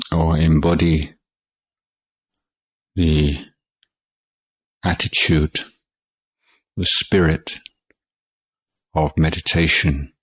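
A man teaching guided meditation in a calm, measured way, leaving long pauses between short phrases. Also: rumbling low, slightly rough, dry recording. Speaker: Buddhist monk meditation teacher.

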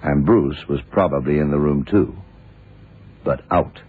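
Tinny old radio drama, commanding detective, husky, slow and deliberate, gruff mentor. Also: male voice, a bass voice, heavily acted. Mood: explaining, deliberate. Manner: deliberate, explaining, authoritative. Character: blind American male detective, sharp, gruff, commanding mentor. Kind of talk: 1970s radio drama acting.

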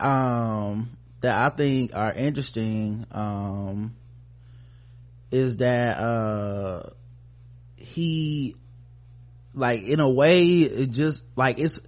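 An American man co-hosting a podcast, casually talking through the news and reading bits of articles in a halting, informal way. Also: medium pitch. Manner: informal, halting, often trailing off mid-thought. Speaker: podcast co-host.

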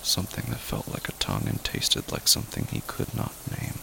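A man narrating a horror story in a somber, grave and hushed manner, with deliberate pauses. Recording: loud rain noise behind voice.

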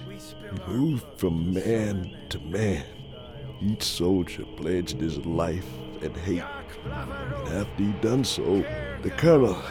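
An actor playing a haunted old former servant with a Southern American accent, telling a horror tale gravely in folksy speech for a radio drama. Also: male voice, low voice. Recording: music loud under the voice.

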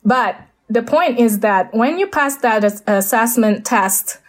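A woman's voice: fairly high pitch, a little slow. A woman with an Indonesian accent, a guest speaking over a video call, talking hesitantly with many fillers and restarts. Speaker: guest, space architect.

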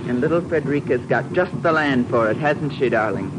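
An actress playing a brassy, scheming, sharp-tongued woman in a 1950s radio drama, with a sardonic, pushy delivery. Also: very deep voice, expressive, fairly quick. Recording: noisy old radio broadcast.

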